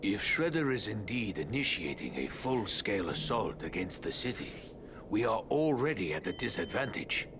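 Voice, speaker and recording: A man playing a wise, fatherly elderly mutant rat sensei in a cartoon video game, formal and deliberate. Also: lowish voice, very animated, noisy background. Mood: solemn, cautioning.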